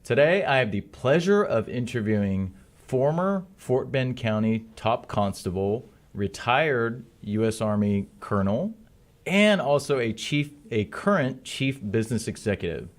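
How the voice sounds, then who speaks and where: A man's voice: medium pitch, expressive, measured pace. A man hosting a talk show, speaking in an upbeat, friendly and eager way as he interviews a guest. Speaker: host.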